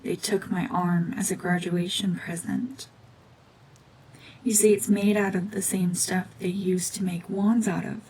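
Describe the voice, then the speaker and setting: A woman voicing a cold, mean, world-weary cyborg witch and war veteran in a hushed, breathy, brooding, deliberate ASMR drama monologue. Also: medium pitch, flat delivery, a little hiss or hum, natural voice, low-quality recording. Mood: bitter, brooding.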